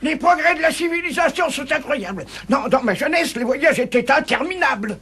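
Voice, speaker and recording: An elderly, excitable English lord from a cartoon, speaks in a very high, piercing voice. He stumbles eagerly over repeated words. Faint noise and clicks sit behind him. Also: brisk, heavily acted. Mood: marvelling. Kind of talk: dubbed cartoon acting.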